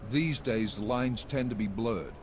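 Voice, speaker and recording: A man's voice: medium pitch, takes it slowly, background noise, close to an everyday voice. An actor playing a stern male agency director who gives firm, curt orders. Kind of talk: video game dialogue.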